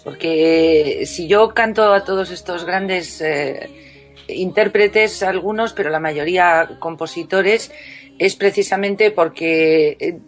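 A woman's voice: fairly low pitch, slow, faint music behind. Chatty woman singer, radio guest. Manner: warm, chatty, many restarts and fillers. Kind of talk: casual radio interview.

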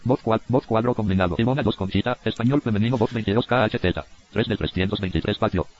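A man's voice: lowish voice, fast talker. A synthetic man's voice working as a screen reader, mechanically reading out short interface labels in clipped fragments. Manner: flat, mechanical, often cut off mid-word. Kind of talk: clipped text-to-speech readout of interface labels. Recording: dull, lo-fi computer audio capture.

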